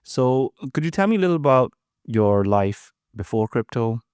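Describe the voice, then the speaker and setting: A man's voice: mid-range voice, expressive, moderate pace, darkish tone, clean tone, no room sound, studio quality. A man hosting a podcast, enthusiastic and friendly as he introduces and questions his guest. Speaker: podcast host. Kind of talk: casual interview chat.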